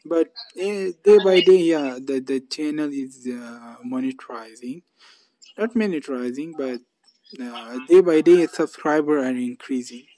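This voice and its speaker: A man's voice: fairly high pitch, unhurried, mellow, no room sound, rough recording. A man hosting a casual English practice video call, speaking simple English and repeating his phrases. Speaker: YouTube channel host.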